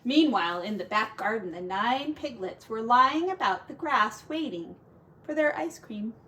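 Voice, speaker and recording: A woman teacher narrates a children's storybook in a high, very lively voice. She takes it slowly and expressively, on a noisy, homemade-sounding recording.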